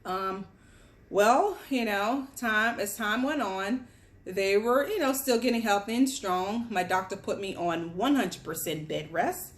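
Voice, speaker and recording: A woman's voice: mid-range voice, unhurried. Woman from Guyana telling her story. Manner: candid, chatty, conversational storytelling. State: pregnant with twins, on bed rest. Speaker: vlogger. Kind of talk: casual personal story to camera. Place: home, at a table among boxes.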